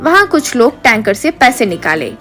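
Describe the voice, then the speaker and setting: A woman narrates a scripted comic moral story. Her voice sits a little high. Soft music plays behind her. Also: big pitch swings, moderate pace.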